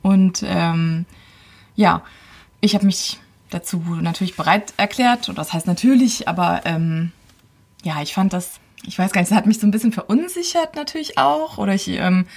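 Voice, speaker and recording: A woman's voice: mid-range voice, moderate pace, creaky, a little mellow. A woman around forty, a podcast guest telling a personal story casually and thinking aloud as she goes. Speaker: podcast guest, host's partner.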